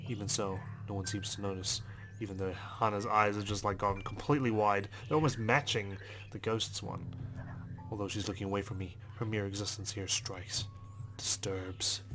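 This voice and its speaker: A man reading visual novel text aloud as a let's player, in a measured, understated storytelling manner with brief asides. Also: lowish voice, steady tone. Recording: music behind the voice. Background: game music.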